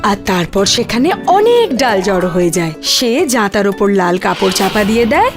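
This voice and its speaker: A woman's voice: mid-range voice, very animated, normal speed, music in the background. A woman narrating a scripted cartoon fairy tale as its storyteller.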